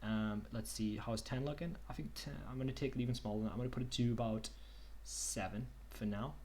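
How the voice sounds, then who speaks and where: A young man casually talking through a screen-share tutorial on a video call, relaxed and chatty. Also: mid-range voice, quick pace, a little hiss or hum. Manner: relaxed, chatty, says "okie dokie" and "kind of". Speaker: online workshop tutor.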